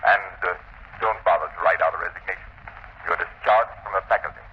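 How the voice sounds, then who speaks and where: An actor playing a stern, dignified university president, formal and righteous, in 1930s radio drama style. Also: male voice, sits low, a little fast, lightly acted. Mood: stern accusation. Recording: muffled, faintly noisy 1930s radio recording.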